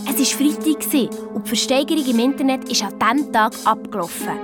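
A woman playing an anxious, excitable young girl detective with a Swiss German accent, telling her adventure in a children's radio play. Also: sits high, quick pace, lightly acted. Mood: matter-of-fact. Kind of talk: first-person children's radio play narration. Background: music.

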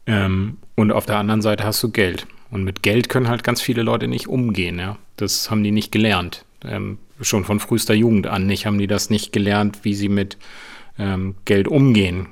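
Low-voiced man explaining, slightly creaky. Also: fairly even intonation, moderate pace. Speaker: financial expert. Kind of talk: informal explanatory interview.

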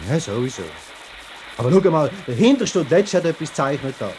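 A pushy Swiss German workman, acted by a man in an old radio drama. His voice is a bit high and he nags in rough everyday talk, over light background noise. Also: expressive, fairly quick, a little mellow, natural voice. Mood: scolding. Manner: nagging, colloquial. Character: pushy, plain-spoken male factory worker collecting money. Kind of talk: 1950s radio play acting.

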